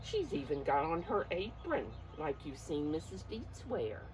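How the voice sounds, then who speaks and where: A woman reads a story to preschoolers in a slightly low voice with very lively, swooping intonation. She takes her time, coaxing her young listeners and asking them to guess along. There is some background noise in the recording. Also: unhurried. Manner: expressive, coaxing, addresses listeners directly. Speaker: preschool teacher reading a picture book. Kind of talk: animated read-aloud to young children with guessing questions.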